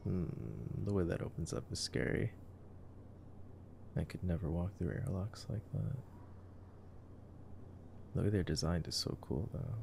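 A young man murmurs casual ASMR movie commentary in a very low voice. It is heavily fried and gravelly, with a dark, mellow tone. His delivery is drowsy and slangy, like relaxed boyfriend roleplay. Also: unhurried, long pauses, a little hiss or hum. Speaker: ASMR voice performer.

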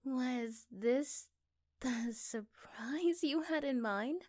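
A woman playing an affectionate, curious girlfriend in an ASMR roleplay, speaking gently and haltingly. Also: high voice. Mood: hesitant, curious.

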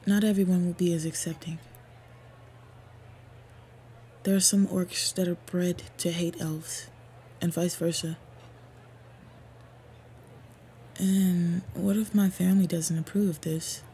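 A woman playing a devoted, protective female orc warrior lover in an intimate fantasy roleplay audio, speaking softly, earnestly and often breathily. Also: on the low side. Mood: anxious, troubled. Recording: clear background ambient noise. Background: ambience sound effects.

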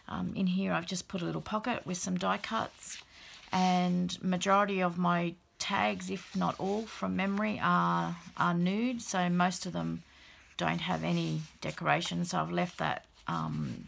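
A middle-aged woman with a croaky, gravelly voice after the flu, casually showing a handmade journal at her craft table, relaxed and chatty with many fillers. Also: fairly low pitch, slight background noise. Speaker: craft channel host. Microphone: overhead camera, hands only.

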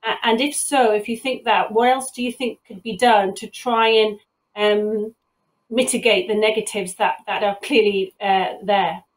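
A woman's voice: medium pitch, steady tone, a little slow, low-quality recording. A woman, asking a question as a judge on a video call, phrasing it tentatively. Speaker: competition judge. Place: indoor room, on a video call.

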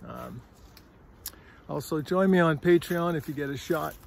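Gravelly middle-aged man hiking, out of breath. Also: a little high, a little slow, a little hiss or hum. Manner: chatty, rambling, keeps asking "all right?". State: a little out of breath. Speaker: vlogger. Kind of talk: casual walking vlog. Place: windy forest trail outdoors. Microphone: phone at arm's length.

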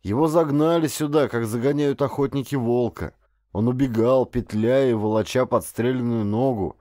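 A man's voice: medium pitch, normal speed, warm tone, no echo, clean studio sound, natural voice. A man voicing a stern, learned father in an audiobook, reading aloud in a grave, emphatic way. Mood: brooding.

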